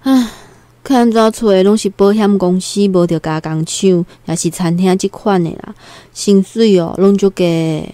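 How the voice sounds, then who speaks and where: A woman's voice: medium pitch, moderate pace, mellow, no echo, lightly acted. An actress with a Taiwanese accent playing a timid, earnest young country girl new to the city in a radio drama. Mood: discouraged. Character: timid nineteen-year-old country girl new in Taipei. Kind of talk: Taiwanese radio drama, first-person storytelling and dialogue.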